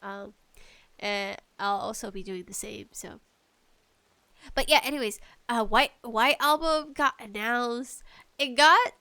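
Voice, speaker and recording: A woman with a high voice hosts a casual solo podcast. She takes her time, speaking very slowly overall. Her manner is animated and playfully exasperated, leaning on emphatic stresses. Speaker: podcast host. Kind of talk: casual solo podcast chat about games.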